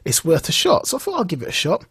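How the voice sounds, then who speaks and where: A man co-hosting a podcast in a casual, enthusiastic way, telling stories in an animated manner and acting out bits of dialogue. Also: sits high, fast talker, husky, no room sound, studio quality. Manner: animated storytelling, acts out reported dialogue. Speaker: podcast co-host.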